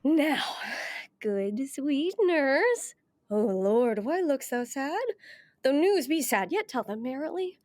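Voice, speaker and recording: A woman's voice: high-pitched, animated, takes it slowly, darkish tone, no echo. A woman acting an impatient, lovestruck young noblewoman in classical Shakespearean stage style, eager and pleading.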